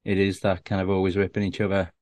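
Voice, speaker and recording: A man in his thirties from Liverpool, a stand-up comedian with a softened Scouse accent, chatting in an interview in a self-deprecating, rambling way with lots of restarts. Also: sits low, completely flat delivery, quick pace, no echo. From Liverpool, England. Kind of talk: casual interview chat.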